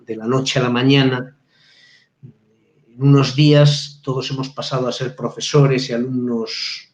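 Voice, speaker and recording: Halting professor in a roomy call. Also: male voice, mid-range voice, darkish tone. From Spain. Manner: reflective, halting, frequent restarts and fillers. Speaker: guest professor. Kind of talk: live webinar discussion. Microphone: video call. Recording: roomy, dull video-call audio.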